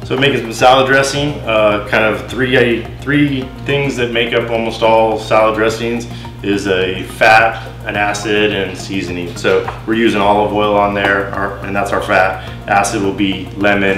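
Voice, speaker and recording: A man in his forties co-hosting a home cooking show, explaining steps in a relaxed, plain-spoken way with ums and uhs. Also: fairly low pitch, moderate pace. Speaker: home cook, show co-host. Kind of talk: casual cooking demonstration. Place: home kitchen, indoors. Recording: echoey kitchen with faint music. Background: background music.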